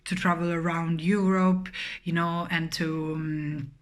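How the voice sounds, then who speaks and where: Woman, 20s, low voice, slow halting English. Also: flat delivery. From Italy. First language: Italian. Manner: halting, many fillers. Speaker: philosophy student.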